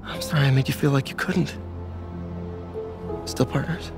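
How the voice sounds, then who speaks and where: A man's voice: medium pitch, fairly even intonation, moderate pace, music in the background, lightly acted. An actor playing a young, protective, determined male superhero in a dramatic video game. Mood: gentle, sincere.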